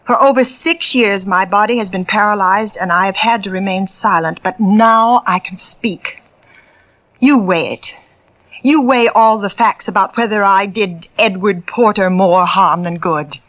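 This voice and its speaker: Actress doing a scheming greedy medium, cold, cutting, commanding, old-time radio drama. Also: female voice, mid-range voice, a little slow, fairly bright tone, lightly acted, poor audio. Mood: solemn. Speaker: fake spiritualist medium. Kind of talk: 1940s radio drama acting.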